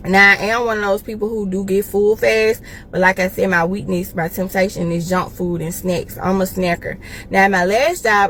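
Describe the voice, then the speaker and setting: A woman in her thirties with an American accent, speaking informally and deliberately in a casual, confessional vlog, pausing for emphasis. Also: mid-range voice, a bit bright, slight background noise. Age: 30s. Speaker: life coach and evangelist making a personal video.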